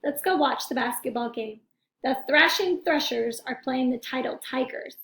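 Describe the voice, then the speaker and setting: A woman voicing a gentle, comforting mother shark in a storybook, soothing and reassuring. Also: high-pitched, moderate pace, echoey room.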